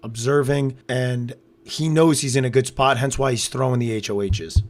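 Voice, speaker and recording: Hoarse, raspy male podcast co-host. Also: medium pitch, moderate pace. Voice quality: loud and raspy. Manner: relaxed, reacts to live chat. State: hoarse after losing his voice. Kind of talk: casual live watch-along commentary. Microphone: close podcast microphone.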